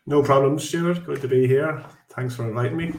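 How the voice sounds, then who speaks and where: A man's voice: mid-range voice, a little fast, darkish tone, soft background music. An Irish man in his forties, a podcast guest telling his story in a chatty, rambling way with many fillers and restarts. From Ireland. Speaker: guest, construction business director. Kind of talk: casual podcast interview. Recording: echoey room.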